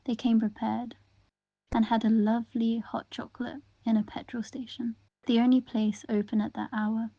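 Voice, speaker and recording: A woman reading interview answers aloud in the first person, in an even, gentle and unhurried way. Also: a little high, no echo, muffled recording.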